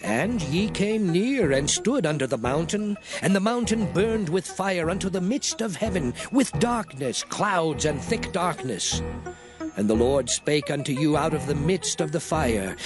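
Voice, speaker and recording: A man's voice: fairly high pitch, expressive, a little slow, over music. An actor playing a solemn biblical prophet, declaiming scripture to his people in archaic, oratorical style. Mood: exhorting, earnest. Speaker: dramatized scripture reader. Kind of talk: dramatized King James Bible reading.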